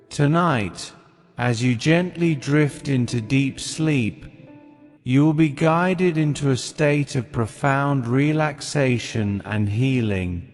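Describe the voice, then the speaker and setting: Very slow, soothing male hypnosis guide. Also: medium pitch. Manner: soothing, unhurried, hushed delivery with short phrases and long pauses. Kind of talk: guided sleep hypnosis, scripted meditation narration. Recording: long reverb with music behind. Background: calming music.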